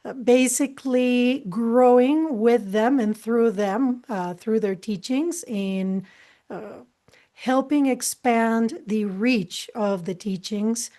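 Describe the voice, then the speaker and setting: A woman from Costa Rica, a guest on a talk show, speaking in a calm, measured way with some fillers. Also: fairly high pitch. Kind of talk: interview conversation.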